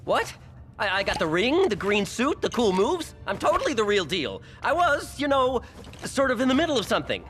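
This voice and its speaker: A woman's voice: fairly high pitch, big pitch swings, normal speed, faint music behind. A woman acting a cocky but flustered impostor superhero in a video game, boastful and defensive. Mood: flustered bluster.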